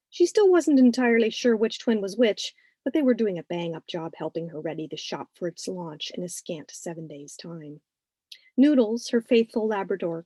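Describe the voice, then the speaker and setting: A woman author reading her novel aloud as expressive scripted narration. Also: mid-range voice, dry recording.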